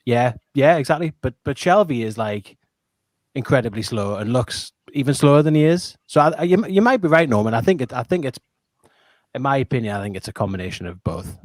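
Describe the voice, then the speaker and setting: A man's voice: mid-range voice, expressive, a little fast, no room sound. A man with a Geordie accent chatting about football on a podcast, stumbling and repeating words as he goes. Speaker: podcast regular. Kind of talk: casual football chat.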